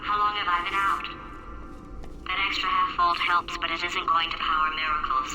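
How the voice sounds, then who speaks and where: A woman's voice: on the low side, moderate pace. A woman voicing a sardonic female computer AI stuck in a potato, with a synthetic filtered sound and dry clipped delivery. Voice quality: flat, clipped, dry sarcasm. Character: sardonic female AI trapped in a potato, cold and scheming. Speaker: game character. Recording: echoey and distant with music behind.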